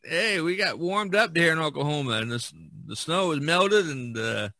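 Bright-voiced American man hosting a podcast. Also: on the high side, expressive, moderate pace, no room sound. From Indiana, USA. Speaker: podcast host. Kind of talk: casual podcast chat.

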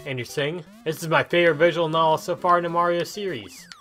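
A man's voice: on the high side, fast talker. Casual rambling man over game music. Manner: rambling, reacting aloud, thinking out loud. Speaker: gaming video host. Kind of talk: casual gameplay commentary.

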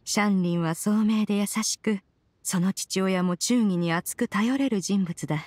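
A woman's voice: medium pitch, measured pace, darkish tone, dry recording, lightly acted. A woman voicing a proud, ancient immortal spirit in a Japanese game, aloof yet caring, with haughty, archaic phrasing. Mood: composed, approving. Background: music.

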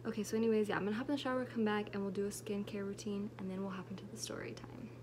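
A woman's voice: medium pitch, level tone, rapid-fire. A late-teen woman talking into a camera at arm's length in her bedroom, casually telling a rambling story full of fillers and restarts. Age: late teens. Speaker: vlogger. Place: bedroom at home with air conditioning running. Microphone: phone or camera at arm's length. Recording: air conditioner hum in the background.

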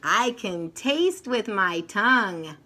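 Slow, animated woman reading to children. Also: medium pitch, a little hiss or hum. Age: middle-aged. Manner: expressive, deliberate, sing-song, child-directed delivery. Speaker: children's storyteller and teacher. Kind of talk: picture book read-aloud for young children. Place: furnished room at home, indoors.

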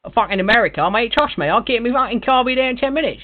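A man's voice: very high-pitched, expressive, rapid-fire. A man putting on a crude, pushy London bloke with a London accent on a prank phone call, swearing constantly. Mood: aggressive. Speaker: prank caller. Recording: tinny phone line.

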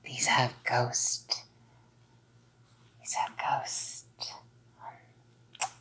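A young woman performing a whispered ASMR role-play as a possessive girlfriend, coaxing and softly commanding, close to the microphone. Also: very slow. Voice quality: breathy whisper. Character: possessive psycho girlfriend playing nurse. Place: small dim indoor room.

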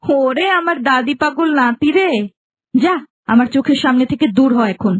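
A woman's voice: sits high, normal speed, natural voice. A woman playing a spiteful, scheming young village daughter-in-law in a cartoon, scolding and dismissive. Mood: scornful. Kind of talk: cartoon voice acting.

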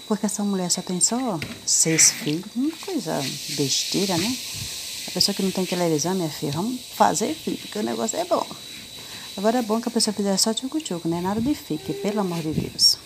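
A woman's voice: mid-range voice, lively intonation, brisk. A middle-aged woman, chatting through a cleaning tutorial with slangy, teasing jokes. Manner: jokey, slangy and teasing, playful asides. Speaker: home cleaning vlogger. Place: home kitchen, voice heard while cleaning a stove. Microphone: phone camera held close over the stovetop. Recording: loud background noise.

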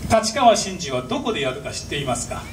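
High-voiced lively male stage impressionist. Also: fast, very noisy. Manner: showman, repeatedly prompting the audience for applause. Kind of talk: live comedy stage patter. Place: live festival stage. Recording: distant stage PA with music.

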